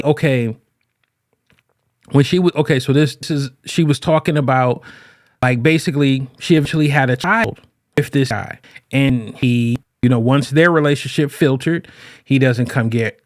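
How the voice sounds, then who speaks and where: A man hosting a podcast, talking casually as a relationship coach, animated and often restarting his phrases. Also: fairly high pitch, no room sound, clean studio sound. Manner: animated, quotes people in raised voices. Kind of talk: casual monologue.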